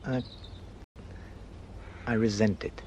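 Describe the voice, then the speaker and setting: Wry, low-voiced resentful novelist. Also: male voice, fairly even intonation, slow, a little mellow, lo-fi sound, a little hiss or hum. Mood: guarded, wry. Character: resentful, wary male novelist, eager for revenge. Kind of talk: radio drama acting.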